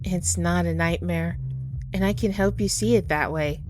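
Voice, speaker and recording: A woman playing a gentle, sorrowful Grim Reaper in an ASMR audio roleplay, soft-spoken and tender as she reassures the listener. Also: lowish voice, moderate pace, over music, natural voice. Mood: tender, knowing. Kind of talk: ASMR audio roleplay, intimate comforting monologue.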